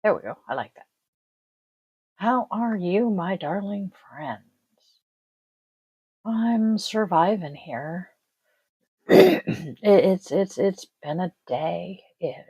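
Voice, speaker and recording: A woman's voice: lowish voice, expressive, slow, long pauses, warm tone, husky, no echo. A middle-aged woman from Washington State hosting a casual tarot livestream, tired and congested, rambling and trailing off with sighs. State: congested, sniffly. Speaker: tarot reader and livestream host.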